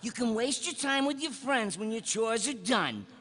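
A man's voice: very high-pitched, expressive, moderate pace. A man voicing a fussy, bossy, giggly cartoon uncle in a live script read. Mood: bossy, excitable. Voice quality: bright, forceful. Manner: exaggerated, breaking into giggles.

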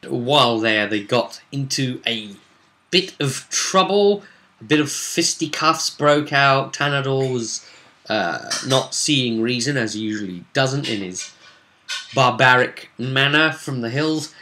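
Young guy chatting through a tabletop game recap, bright sharp voice, kinda halting and rambly. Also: medium pitch, lively intonation, slight background noise. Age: young adult. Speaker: game master recapping a tabletop role-play session. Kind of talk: casual unscripted vlog recap. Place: small home room, indoors.

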